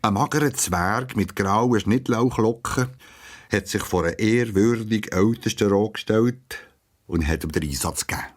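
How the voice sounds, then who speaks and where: Low-voiced Swiss German male storyteller. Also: unhurried. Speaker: narrator. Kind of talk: storytelling narration for children's radio play.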